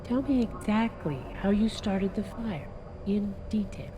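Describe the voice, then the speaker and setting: A woman's voice: mid-range voice, unhurried, noisy background, lightly acted. A woman with a Boston accent playing a persistent female police detective who keeps pressing with questions. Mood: probing. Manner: probing, insistent questioning. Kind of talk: amateur radio drama acting.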